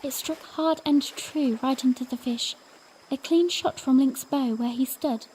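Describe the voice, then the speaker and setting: A woman playing a spirited, tender young princess who recounts her adventure in expressive storybook phrasing for an audiodrama. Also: high-pitched, a little slow, a little hiss or hum, rough recording. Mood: earnest, wistful. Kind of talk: first-person audiodrama narration with acted dialogue.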